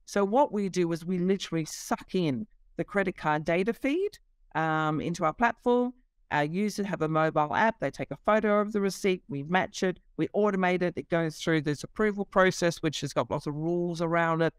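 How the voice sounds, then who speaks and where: Middle-aged woman on a video call, smooth clear voice, dry sound, lots of ums. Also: on the low side, normal speed, no echo. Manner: fluent explainer, many fillers. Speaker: company CEO, guest. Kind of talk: explaining her business in an interview. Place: office.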